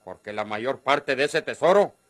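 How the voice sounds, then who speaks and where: A man's voice: mid-range voice, expressive, normal speed, dry recording, close to an everyday voice. Dry-sounding wheedling Mexican country schemer, acted by a man. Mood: accusing. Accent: northern Mexican. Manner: coaxing, folksy. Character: wheedling, insistent rustic schemer after treasure. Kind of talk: vintage Mexican radio drama acting.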